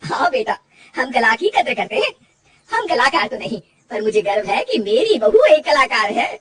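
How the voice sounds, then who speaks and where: Woman putting on a bossy older mother-in-law, loud and lively, a bit far off mic. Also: on the high side, moderate pace. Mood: proud.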